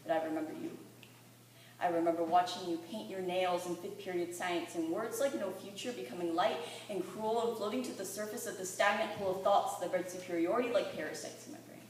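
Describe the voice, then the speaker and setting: A woman's voice: lowish voice, fairly even intonation, fairly quick. A teenage girl performing spoken-word poetry with earnest, dramatic, rhythmic delivery. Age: teens, fifteen or sixteen. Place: library room with a live audience. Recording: very echoey room, distant mic, faint noise.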